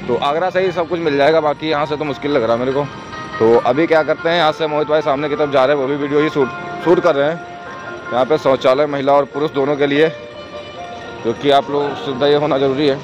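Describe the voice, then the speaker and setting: A young man with a hoarse, worn-out throat chats his way through an outdoor bus station like a tour guide. He talks a little high, filming on a phone held at arm's length. The sound is dull and lo-fi, with music playing in the background. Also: fairly even intonation, quick pace. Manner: chatty tour-guide narration, pointing things out. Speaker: travel vlogger. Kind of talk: casual walking vlog. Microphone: phone camera at arm's length. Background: music playing and passing buses.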